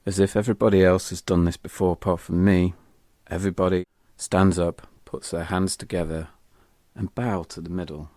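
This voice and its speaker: A man's voice: deep voice, level tone, normal speed, warm tone, no room sound, clean studio sound. A British man telling a scripted first-person story as an audio drama narrator, wry and confessional, with music behind him.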